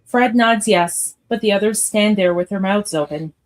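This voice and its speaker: A woman's voice: medium pitch, moderate pace. A woman reading stage directions as the narrator of a live radio theatre script read.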